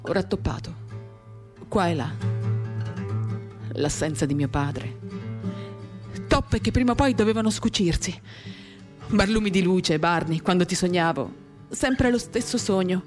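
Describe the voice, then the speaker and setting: Woman's measured dramatic reading over guitar. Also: mid-range voice, animated. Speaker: reader of a novel for radio theatre. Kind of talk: dramatic literary reading, first-person monologue. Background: acoustic guitar.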